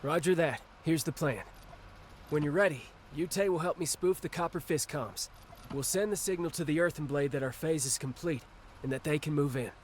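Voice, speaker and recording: An actor playing a composed military strategist in a game, speaking in a precise, matter-of-fact manner. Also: male voice, a little high, normal speed, a little hiss or hum, natural voice. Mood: composed, serious. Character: composed, methodical male military strategist ally. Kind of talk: video game dramatic dialogue.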